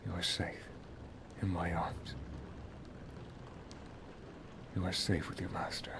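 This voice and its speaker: A man playing a solemn, protective wizard master and lover in an ASMR roleplay, speaking softly and gravely, often half-whispering. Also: low voice, flat delivery, normal speed, noisy background. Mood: tender, protective. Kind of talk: ASMR roleplay, dramatic monologue.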